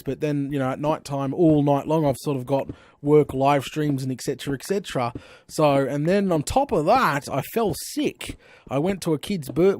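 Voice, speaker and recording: Australian man, gaming streamer, rambling casual chat, animated storyteller, slightly high voice. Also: no echo. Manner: chatty, animated storytelling with frequent slang exclamations.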